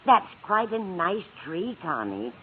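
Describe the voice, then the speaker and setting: A woman's voice: on the low side, very animated, takes it slowly. An actress playing a kindly, chatty, motherly older landlady in a 1950s radio sitcom. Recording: tinny, hissy old radio broadcast.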